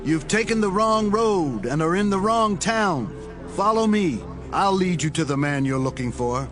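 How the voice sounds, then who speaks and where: An actor playing an authoritative, measured prophet in a dramatized Bible reading. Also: male voice, high voice, animated. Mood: confident. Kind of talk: dramatized Bible audio acting. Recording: music behind the voice.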